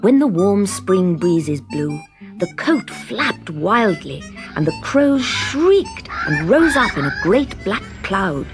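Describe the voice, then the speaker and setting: A woman reading a scripted children's story in an expressive, deliberate storytelling manner. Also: mid-range voice. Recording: background music under the reading.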